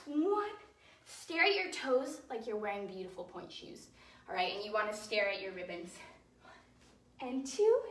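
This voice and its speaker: A young woman teaches a children's dance class in a high, bright voice. She gives simple, encouraging instructions and counts out the moves. The recording is roomy, with her voice some way from the microphone. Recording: roomy echo, distant microphone.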